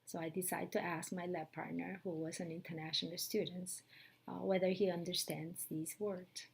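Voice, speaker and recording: Gravelly middle-aged woman. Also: on the low side, level tone, normal speed. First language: Korean. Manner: hesitant, earnest, many fillers. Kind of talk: personal testimony.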